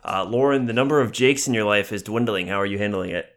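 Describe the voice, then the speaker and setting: A man's voice: fairly low pitch, fast. An American man from Seattle hosting a podcast and asking questions in a conversational sports interview. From Seattle, United States.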